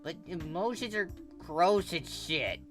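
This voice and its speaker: A woman's voice: fairly low pitch, expressive, slow pace, music in the background, pitched above the performer's own voice. Woman acting a brash, grumbly tough teen girl. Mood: grumbly, flustered. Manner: grumbly, exasperated, swearing.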